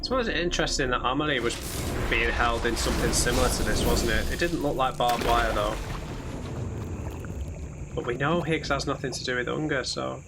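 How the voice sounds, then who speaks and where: A hoarse man commenting casually on a story game as he plays it, musing aloud and chatting to his viewers. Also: medium pitch, fairly quick, background noise. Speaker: let's play gamer. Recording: loud game gunfire and vehicles behind voice.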